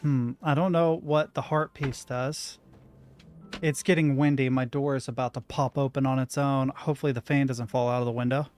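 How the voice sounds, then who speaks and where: A man's voice: medium pitch, fairly even intonation, normal speed, darkish tone, soft background music. A young man thinking aloud as he plays a video game at home, muttering to himself. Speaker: gaming streamer. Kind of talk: let's play commentary. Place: room at home with a window and a rattling door.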